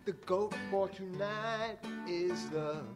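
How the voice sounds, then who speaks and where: A man's voice: sits high, slow. A man performing a poem as a song at a live tribute, with guitar music.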